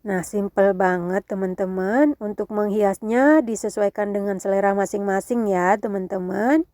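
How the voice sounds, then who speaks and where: A woman with a nasal, congested voice from a cold gives a casual cooking tutorial, gently instructing her viewers. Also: medium pitch, normal speed, no room sound, clean studio sound. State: has a cold. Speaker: home cooking video host.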